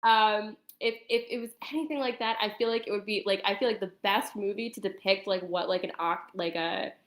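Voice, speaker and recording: A woman with a mid-pitched voice chats casually in a clearly echoey room. She talks in hesitant, looping phrases stuffed with filler words. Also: fairly even intonation, a little fast, a bit bright. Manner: hesitant, filler-heavy, says like often. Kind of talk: casual chat. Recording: roomy, echoing sound.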